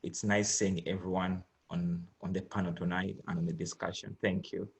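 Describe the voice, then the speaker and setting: A man's voice: low-pitched, little pitch movement, normal speed, creaky. A man with a Ugandan accent speaking as a conservationist on an online panel, explaining his project. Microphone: video call.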